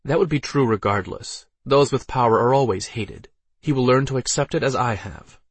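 A man's voice: medium pitch, moderate pace, slightly rough, dry recording, pitched above the performer's own voice. Man reading as an imperious female archmage. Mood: proud, commanding. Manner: imperious, cold. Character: commanding, proud female archmage and ruthless mother. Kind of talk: audiobook character voice.

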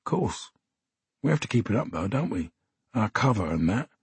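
A man voicing a worried young English private who speaks in a hushed, urgent way. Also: on the low side, very animated, moderate pace, slightly rough, dry recording, full character voice.

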